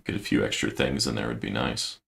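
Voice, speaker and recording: Male streamer, deep gravelly voice, musing low-key play-by-play. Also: steady tone, brisk, a bit bright. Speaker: game streamer. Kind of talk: casual gameplay commentary.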